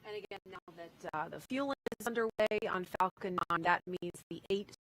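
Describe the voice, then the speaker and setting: A woman's voice: fairly low pitch, brisk, fairly bright tone. Woman hosting a noisy live broadcast. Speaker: launch broadcast host. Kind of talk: live technical commentary. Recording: broadcast feed with clear background noise.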